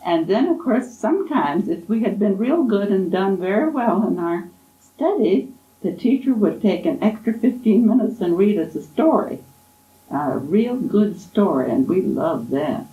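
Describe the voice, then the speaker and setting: A woman in her eighties, an oral history interviewee, gently reminiscing in an unhurried, fond way. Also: medium pitch, expressive, phone-quality sound. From Kansas, USA. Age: 80s. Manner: gentle, unhurried, fond storytelling with soft laughs. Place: college library. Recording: echoey library room.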